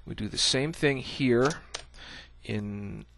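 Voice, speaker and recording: A man's voice: medium pitch, slow, creaky, a bit bright, slight background noise. A man giving a casual screencast coding tutorial, thinking aloud as he types, with many "uh" fillers. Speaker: programming instructor.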